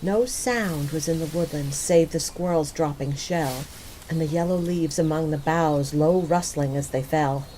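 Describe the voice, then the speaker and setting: A woman reads verse aloud in a lowish, mellow voice. Her delivery is lyrical and very unhurried, and faint noise sits behind her. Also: low-quality recording. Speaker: poetry reader. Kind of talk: expressive poetry recitation.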